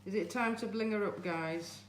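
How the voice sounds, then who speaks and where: A woman's voice: medium pitch, normal speed, reverberant, a little hiss or hum. A Greek-born woman with a Yorkshire accent hosting a casual live craft stream, chatting and greeting viewers by name. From Greece. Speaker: livestream host.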